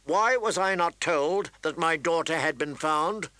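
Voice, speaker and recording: A man's voice: on the high side, lively intonation, moderate pace, bright, forceful tone, dry recording. Man playing a firm, protective noble father. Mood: cold anger. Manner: firm, demanding. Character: stern protective lord and father, icy when angered.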